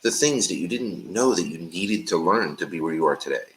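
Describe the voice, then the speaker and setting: A man's voice: sits low, animated, a little fast, fairly bright tone, low-quality recording. A man hosting a casual interview, supportive and prompting his guest, often checking with "right?". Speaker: podcast host. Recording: faint background noise.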